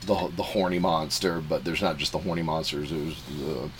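A man's voice: extremely low pitch, little pitch movement, normal speed, a bit bright, slight background noise. A man hosting a casual podcast, joking around and acting out quotes in silly voices. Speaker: podcast host. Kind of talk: casual chat.

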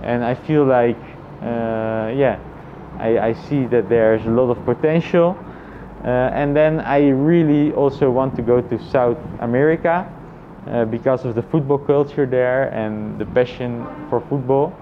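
A man with an average-pitched voice talks through his thoughts in a casual vlog. His delivery is reflective and halting, often repeating phrases as he finds his way. The sound is a little dull, with faint traffic noise behind him. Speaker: vlogger.

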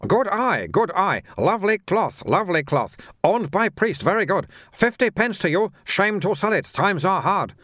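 A man's voice: sits high, very animated, moderate pace, hardly any pauses, a bit bright, dry recording, studio quality, voice pushed up, exaggerated voice. A man voicing a small, wily, wheedling shopkeeper in an audiobook, speaking clipped broken English and repeating his phrases. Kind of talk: audiobook character voice.

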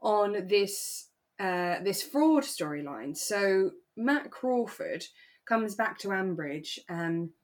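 A British woman co-hosting a podcast, telling stories in a chatty, explanatory way as she recaps a drama in relaxed conversation. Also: mid-range voice, slow. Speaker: podcast co-host.

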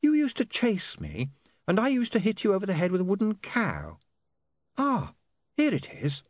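A man's voice: sits high, huge pitch swings, normal speed, no echo, voice pushed up, exaggerated voice. A man voicing a prim, dreamy young woman reporter who can turn ferocious, in an audiobook. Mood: wistful.

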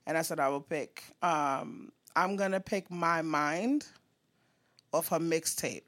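A woman's voice: sits low, slow pace, fairly bright tone. A woman co-hosting a podcast, chatting casually and animatedly about music, swearing freely and breaking into song. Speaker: podcast co-host.

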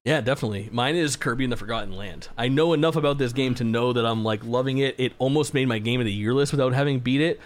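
A man's voice: medium pitch, fast talker, few pauses. A man in his twenties co-hosting a gaming podcast, chatting casually with plenty of swearing and easy laughter. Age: 20s. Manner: laid-back, swears often, laughs easily. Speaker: podcast co-host. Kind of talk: casual chat.

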